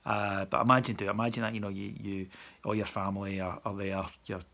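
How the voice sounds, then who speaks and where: A man's voice: fairly low pitch, level tone, fairly quick, creaky, sharp tone. A man, giving a dry, wry post-match reaction as podcast host, recorded on a phone at arm's length in a small room. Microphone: phone camera at arm's length.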